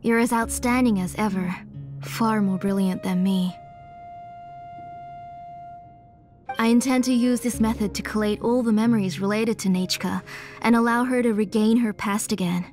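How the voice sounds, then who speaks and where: A woman playing an aging, devoted mother and engraver in a video game, measured and earnest, weary but resolute. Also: a little high, steady tone, a little mellow, soft background music, lightly acted. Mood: calm, assured.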